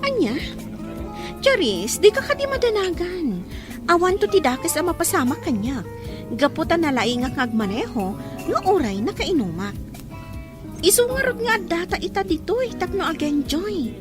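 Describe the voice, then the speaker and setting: A woman's voice: extremely high pitch, sing-song, normal speed, long pauses. A woman playing a defiant, carefree teenage daughter in a drama, with a Filipino accent. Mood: dismissive. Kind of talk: Ilocano radio drama acting. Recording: loud music under the dialogue.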